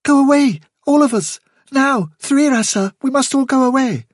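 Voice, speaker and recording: A man's voice: animated, normal speed, slightly rough, no echo, clean studio sound. A man voicing a frightened, miserable young male rabbit in a storybook, stammering and blurting in urgent pleas. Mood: urgent, pleading. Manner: stammering, blurting, high-strung.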